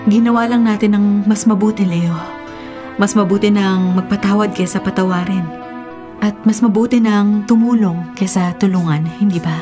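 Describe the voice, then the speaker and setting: An actress playing a gentle, forgiving woman doctor with a polite, measured manner in a Filipino radio drama. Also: medium pitch, steady tone, music in the background, natural voice. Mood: calm, forgiving.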